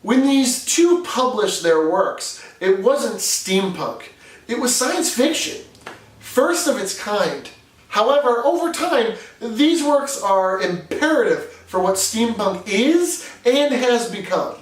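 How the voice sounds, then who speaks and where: A man's voice: high voice, lively intonation, slow pace, reverberant, recorded from a distance, low-quality recording. A man with a New England accent, from New Hampshire, giving an earnest, conversational explainer as a video host. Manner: earnest, conversational, with occasional fillers. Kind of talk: scripted explainer narration.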